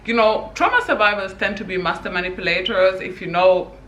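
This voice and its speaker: A woman from Senegal speaks straight to her viewers, laying out her views in an emphatic, conversational way and often dropping in "you know". Her voice is bright and forceful. The room around her gives it an echoey sound. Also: mid-range voice, a little fast. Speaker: vlogger. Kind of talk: opinion commentary vlog.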